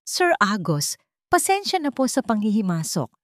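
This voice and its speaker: A woman's voice: mid-range voice, very animated, normal speed, dry recording, voice pushed up, close to an everyday voice. A woman narrator plays an elderly Filipino housemaid in a drama story. She speaks with a Filipino accent, hesitant, deferential and full of concern, in a dry recording. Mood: hesitant, apologetic. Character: elderly housemaid, hesitant, respectful, sincerely worried.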